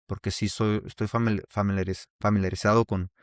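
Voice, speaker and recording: Man, Mexican accent, very low voice, dead dry sound, casual podcast talk, rambling restarts. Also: level tone, fairly quick, no echo. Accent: Mexican Spanish. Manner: rambling, with many restarts and fillers. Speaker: podcast host. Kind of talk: casual solo opinion podcast.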